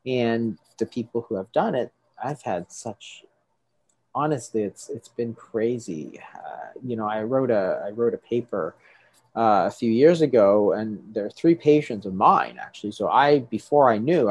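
Man, middle-aged doctor, husky, dry close sound, explaining, restarts often. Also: mid-range voice, expressive, normal speed, darkish tone, no room sound. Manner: explanatory, with frequent restarts and "right?" checks. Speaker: doctor treating patients. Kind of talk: interview guest explaining.

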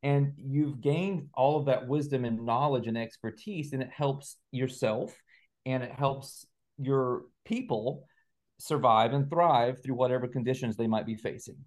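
A man's voice: medium pitch, measured pace, darkish tone. A man speaking as an interview guest on a video call, explaining ideas methodically, step by step. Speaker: guest author and researcher.

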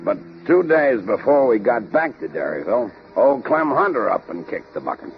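Breezy American investigator, slangy, very animated, muffled old radio drama. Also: male voice, medium pitch, moderate pace, soft background music. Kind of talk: 1930s radio drama acting and narration. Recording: muffled, noisy 1930s radio recording.

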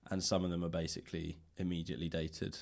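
A man with a very low-pitched voice talks casually as a podcast host. His delivery is conversational and informal, like an easygoing interview chat. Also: level tone, fast talker, gravelly. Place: library room.

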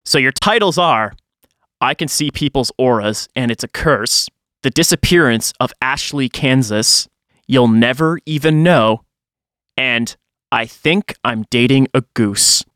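A man's voice: mid-range voice, a little slow, a bit bright, no room sound, clean studio sound. A man hosting a podcast in a casual, informal conversational style. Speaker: podcast host.

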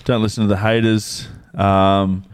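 A man's voice: lowish voice, flat delivery, measured pace, clean tone, no echo, studio quality. A young Australian man co-hosting a podcast, chatting in crude, joking banter and laughing often. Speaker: podcast co-host. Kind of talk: casual banter.

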